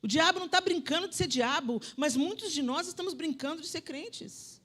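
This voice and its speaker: Woman, preacher, sharp bright tone, emphatic, talks right to the crowd. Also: high voice, a little fast. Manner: emphatic, swinging from loud declarations to soft asides; conversational, addresses the audience directly. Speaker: preacher at a women's conference. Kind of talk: sermon. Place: church sanctuary.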